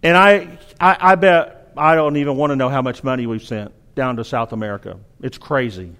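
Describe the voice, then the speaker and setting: A man's voice: medium pitch, normal speed, fairly bright tone. A middle-aged man with a Southern accent from Arkansas, preaching a sermon in an earnest, exhorting way, often restarting his sentences.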